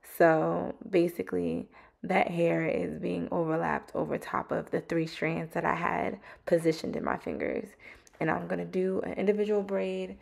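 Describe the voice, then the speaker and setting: Young woman, raspy, gravelly, low-pitched, casual home tutorial chat. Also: normal speed. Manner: relaxed, chatty, peppered with ums. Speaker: hair tutorial creator. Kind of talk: casual how-to narration. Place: home bathroom, indoors.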